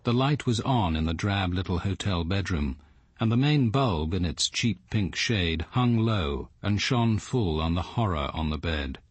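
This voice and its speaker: Erudite detective's inner narration for radio crime drama. Deep, slightly rough, measured. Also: male voice, animated, dry recording, heavily acted. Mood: sombre. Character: reflective, literary male detective narrating inwardly. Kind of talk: first-person literary radio drama narration.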